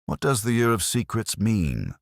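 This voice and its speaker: A man voicing a calm, courteous police inspector who questions gently and persistently in an audio mystery. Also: on the low side, moderate pace, gravelly, no room sound, clean studio sound, voice pushed up, full character voice. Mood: gentle probing.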